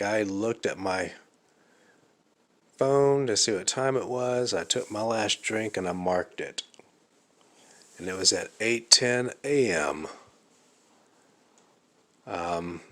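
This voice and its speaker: A man talks to the camera alone in his living room at night, his voice a little raspy. He speaks slowly and haltingly, with plenty of ums and uhs. His low voice has a bright, forceful edge. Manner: slow, halting, thinking aloud with many fillers. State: slightly raspy from fasting. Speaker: vlogger. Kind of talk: casual vlog monologue.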